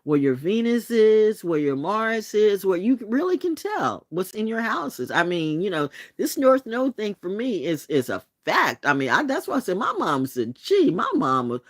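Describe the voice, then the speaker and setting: Woman, late sixties, vlog host, blunt and salty, pitch swinging up and down, dry close sound. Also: big pitch swings, normal speed, hardly any pauses, no room sound. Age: 60s. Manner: blunt and profane, with laughs and restarts. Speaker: channel host. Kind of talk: late-night vlog monologue.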